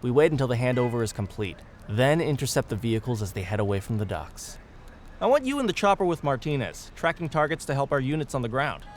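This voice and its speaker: Man playing an emphatic, animated police detective. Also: mid-range voice, fast talker, slight background noise, lightly acted. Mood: commanding. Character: brisk, commanding male police detective giving briefing. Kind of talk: video game cutscene dialogue.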